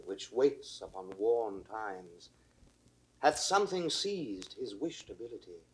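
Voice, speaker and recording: An actor playing a young prince, courteous and earnest, declaiming Shakespearean verse formally. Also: male voice, mid-range voice, darkish tone, rough recording. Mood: respectful, earnest. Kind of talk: classical Shakespearean stage acting.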